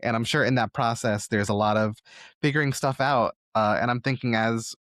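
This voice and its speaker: A man's voice: medium pitch, steady tone, moderate pace, a bit bright, no echo, studio quality. A man hosting a podcast, talking in a casual, earnest interview style and rambling his way toward each question. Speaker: podcast host.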